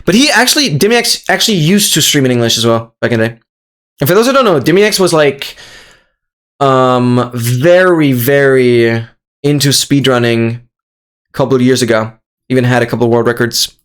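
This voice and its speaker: A young adult streamer from Austria, a native German speaker with an Austrian accent, chatting excitedly with viewers on a live stream and repeating phrases. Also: medium pitch, normal speed.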